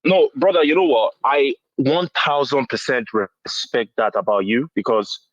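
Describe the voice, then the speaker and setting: A man's voice: medium pitch, moderate pace, dry recording. Nigerian man calling in, dull dry line. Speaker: caller to a political show. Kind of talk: casual phone-in commentary. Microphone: phone call. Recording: dull, dry phone-call sound.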